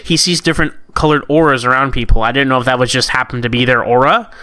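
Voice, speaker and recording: Bright, clear-voiced man chatting casually. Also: mid-range voice, steady tone, quick pace, no echo. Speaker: podcast co-host. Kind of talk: casual review chat.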